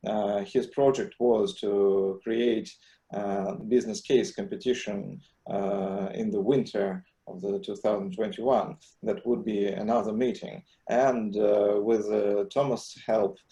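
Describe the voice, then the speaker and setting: Low, mellow-voiced man chairing a meeting. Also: gravelly, reverberant. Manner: hesitant, many filler sounds. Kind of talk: video meeting discussion.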